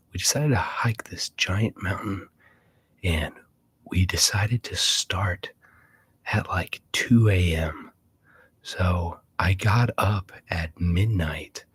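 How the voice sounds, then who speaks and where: A man hosting a casual ASMR livestream, chatting in a breathy whisper and rambling with hesitant pauses. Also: low voice. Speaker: livestream host.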